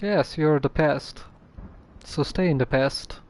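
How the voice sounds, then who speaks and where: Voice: medium pitch, slow, darkish tone. A game streamer reacting casually and tersely to play, grumbling back at the game in exasperation. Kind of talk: casual live gameplay reactions. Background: game music.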